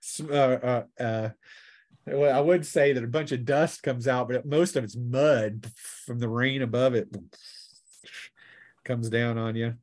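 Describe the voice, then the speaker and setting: A man's voice: mid-range voice, fairly even intonation, measured pace, long pauses, darkish tone. Man running a tabletop game as game master. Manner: conversational, narrates action between rules talk and arithmetic. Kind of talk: unscripted tabletop game refereeing.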